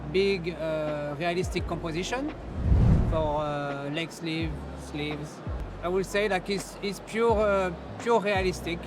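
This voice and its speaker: A man's voice: on the high side, very noisy. A man with a French accent, a tattoo artist, speaking hesitantly with many fillers in a convention interview. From France. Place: busy convention hall.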